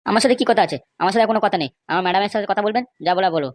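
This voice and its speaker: Man playing a rapid-fire, quarrelsome cartoon fellow. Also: high-pitched, no echo, studio quality, natural voice. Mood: indignant, insistent. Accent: West Bengal Bengali. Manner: scolding, insistent, rhetorical questions. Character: argumentative, indignant cartoon men bickering over money. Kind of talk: amateur comedy cartoon dialogue.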